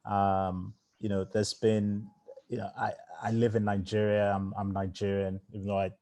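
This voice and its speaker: A man who grew up in England, a startup founder speaking casually in a fireside chat, with many fillers. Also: normal speed. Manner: conversational, lots of fillers, low flat voice.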